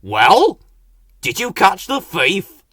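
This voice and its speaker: A man's voice: high-pitched, wildly swooping pitch, slow pace, sharp tone, slightly rough, no echo, studio quality, voice pushed up, full character voice. A man voicing a cross storybook grumbler who chides and scolds. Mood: scolding. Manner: chiding. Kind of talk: children's storybook character voice.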